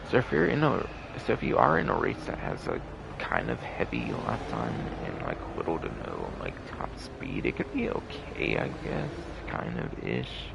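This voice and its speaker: A man's voice: deep voice, huge pitch swings, normal speed, vocal fry, background noise. A man with a tired throat doing casual, rambling game livestream commentary, repeating himself, hedging and swearing casually.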